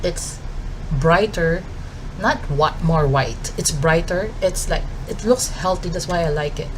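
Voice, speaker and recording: A woman's voice: on the low side, unhurried, a little hiss or hum, poor audio. A woman in her thirties with a Filipino accent, chatting casually through her makeup routine with lots of "um" and "like". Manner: chatty, with fillers like "um" and "like" while doing makeup. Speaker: beauty vlogger. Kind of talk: casual get-ready-with-me chat.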